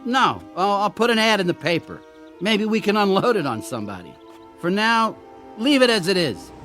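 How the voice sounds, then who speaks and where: A guilt-ridden husband close to cracking, in radio drama style. His voice sits high and swings wildly in pitch. He is agitated and emphatic, with music behind him. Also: very animated, normal speed, fairly bright tone. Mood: uneasy, evasive.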